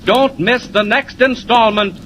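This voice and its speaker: A man's voice: very high-pitched, fairly even intonation, measured pace, a bit bright. A man narrating as a 1940s radio serial announcer, dramatic, declamatory and suspenseful. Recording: noisy vintage 1940s radio recording.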